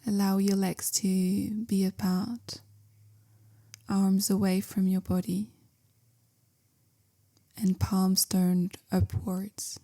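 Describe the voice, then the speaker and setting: A woman guiding a meditation in a gentle, calm, measured way, softly spoken with whispery phrase endings. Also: mid-range voice. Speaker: meditation guide, yoga teacher. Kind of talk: guided meditation, slow soothing instruction.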